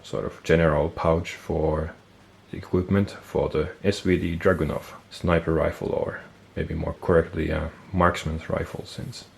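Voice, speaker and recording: Low gravelly man with a stuffy nose. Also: moderate pace, few pauses. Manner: relaxed, thinking aloud with fillers and self-corrections. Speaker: military gear collector and video host. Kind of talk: casual show-and-tell explanation.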